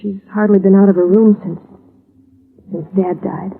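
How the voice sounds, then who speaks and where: A woman's voice: medium pitch, steady tone, moderate pace, creaky, slight background noise, close to an everyday voice. An actress playing a grieving, polite young woman in a 1950s radio drama, earnest and subdued. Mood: earnest, sad. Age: young adult. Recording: muffled, tinny old radio recording.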